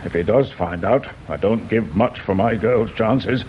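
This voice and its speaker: Actor as blustering older English father, low-voiced. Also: unhurried, close to an everyday voice. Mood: grave. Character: indignant, guarded older father of a married daughter. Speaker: actor in a radio mystery drama. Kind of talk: British radio drama acting. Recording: dull radio recording, noticeable background noise.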